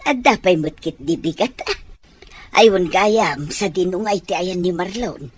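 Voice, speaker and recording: A woman acting an emotional, fond mother with a husky voice and Ilocano accent in a radio drama. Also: on the low side, measured pace. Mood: fond, bantering. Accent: Filipino, Ilocano. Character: emotional, fond mother of a grown daughter or son.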